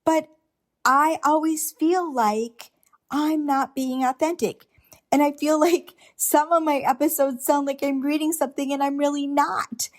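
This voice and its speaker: A woman's voice: high-pitched, fairly even intonation, measured pace. A middle-aged woman hosting a solo podcast, talking earnestly and unscripted, with restarts and rhetorical questions. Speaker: podcast host. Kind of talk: reflective solo podcast monologue.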